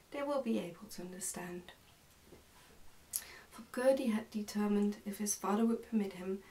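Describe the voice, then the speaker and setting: A young woman reads a storybook aloud for ASMR. Her voice is relaxed and mellow, with a soft, whispery, careful delivery. She is recorded indoors, a little way off from the microphone. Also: medium pitch, vocal fry, recorded from a distance, low-quality recording. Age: young adult. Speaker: ASMR narrator reading a book aloud. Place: indoors, small plain room.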